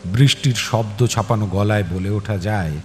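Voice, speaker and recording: A man narrating a scripted story in a dramatic, suspenseful and expressive manner. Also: lowish voice, moderate pace, a little hiss or hum. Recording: music behind the voice. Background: clear background music.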